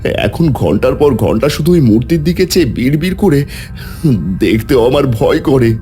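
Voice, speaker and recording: Man acting a frightened father, animated and anguished. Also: mid-range voice, moderate pace, soft background music, pitched above the performer's own voice, natural voice. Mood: uneasy. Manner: anguished, hesitant, stammering. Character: frightened, remorseful father, a wealthy householder. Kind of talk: dramatic cartoon story acting.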